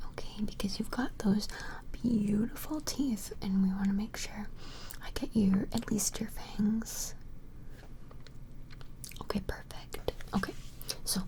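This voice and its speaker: A woman whispering softly and breathily up close to the mic in a gentle, reassuring ASMR personal-attention roleplay, murmuring hesitantly. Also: medium pitch, background noise. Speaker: ASMR performer. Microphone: close-up microphone.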